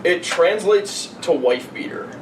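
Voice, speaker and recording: A young man chatting casually on a podcast in a halting, informal way. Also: sits high, expressive. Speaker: podcast co-host. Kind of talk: casual chat. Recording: echoey noisy room, distant mic.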